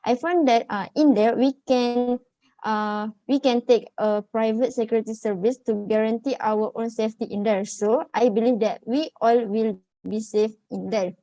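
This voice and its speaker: A woman in her twenties with a Malaysian accent, giving her views haltingly in a student group discussion. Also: fairly high pitch, steady tone, mellow, no room sound, muffled recording. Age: 20s. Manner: hesitant, halting delivery.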